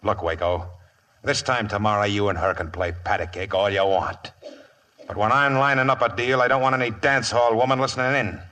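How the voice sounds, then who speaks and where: A man's voice: fairly low pitch, fairly even intonation, fairly quick, sharp tone. An American man acting a curt, threatening outlaw gang leader in a 1950s radio western. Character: gruff, domineering male outlaw gang leader planning a robbery.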